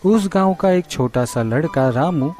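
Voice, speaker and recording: A man narrating a scripted children's story in a simple, measured way. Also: fairly high pitch, expressive, darkish tone, faint music behind.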